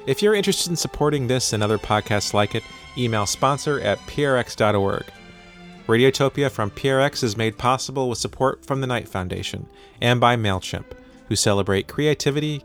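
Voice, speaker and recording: A man hosting a podcast as its producer, narrating closing credits. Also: mid-range voice.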